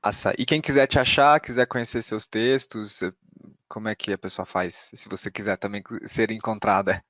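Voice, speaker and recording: A man's voice: fairly low pitch, fairly even intonation, moderate pace, fairly bright tone, no room sound. A Brazilian man hosting a podcast interview in an informal, conversational way with tentative phrasing. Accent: Brazilian Portuguese. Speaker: podcast host.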